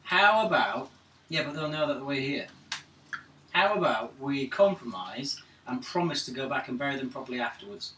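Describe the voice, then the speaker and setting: A man's voice: medium pitch, expressive, moderate pace. Man, British accent, mid-range voice, casual roleplay chat, playful, far from the mic, echo. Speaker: tabletop game player. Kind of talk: casual banter during roleplay. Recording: echoey room, distant mic, noisy, clicks.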